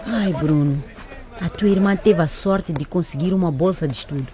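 A woman acting in a radio drama as a protective, bewildered mother who is brisk with her children. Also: fairly low pitch, warm tone, lightly acted. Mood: irritated.

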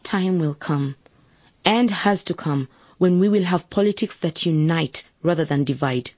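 Radio drama: assertive young campaigner, formally polite, husky edge, dry close studio sound. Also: female voice, sits low, measured pace, a little mellow, dry recording. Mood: earnest, principled. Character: determined, idealistic young woman running for civic office.

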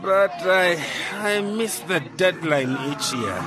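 An actor playing a smooth-talking, excitable former ministry man in a radio drama. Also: high voice, slow. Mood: animated. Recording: music and party crowd behind.

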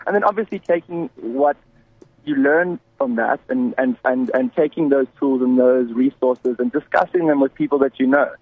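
A man calling in to a radio show, speaking hesitantly with many pauses and restarts. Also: medium pitch, breathy edge, dry recording. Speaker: caller. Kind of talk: phone-in talk radio. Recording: tinny dry phone line.

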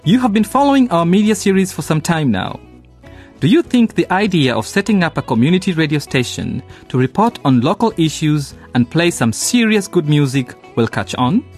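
A man narrates a scripted radio programme, speaking to listeners. His voice is a little high and very animated, with light guitar music behind. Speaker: narrator and presenter.

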